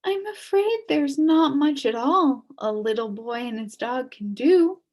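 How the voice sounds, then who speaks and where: A woman voicing a kindly, sad old witch from a storybook, gently resigned and soothing. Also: high voice, animated, measured pace, voice pushed up. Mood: resigned, reassuring.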